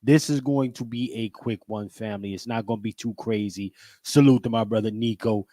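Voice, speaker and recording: Low-pitched man hosting a livestream. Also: steady tone, a little fast, dark tone, dry recording. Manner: emphatic, repetitive greetings and catchphrases. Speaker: podcast host. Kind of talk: casual livestream monologue.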